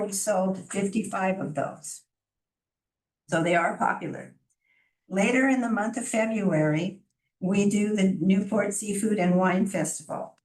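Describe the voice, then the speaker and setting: Soft-voiced woman presenting in an echoey chamber. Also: mid-range voice. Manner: friendly, informal, with small laughs and restarts. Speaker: chamber of commerce director presenting. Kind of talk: community presentation to a city council. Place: city council meeting chamber. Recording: echoey council chamber from far off.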